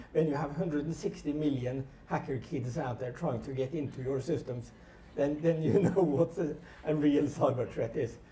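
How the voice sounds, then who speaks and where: A man's voice: mid-range voice, dark tone. A middle-aged man from Norway with a Norwegian accent, speaking informally as a technology panelist. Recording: echoey room, distant mic, background noise.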